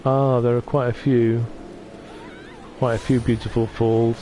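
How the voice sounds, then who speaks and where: Gentle, hesitant man streaming from home. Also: mid-range voice, level tone, a little slow, slight background noise. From North Wales. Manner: gentle, hesitant, with many restarts. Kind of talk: casual chat reading out viewer comments. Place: at home on a sofa.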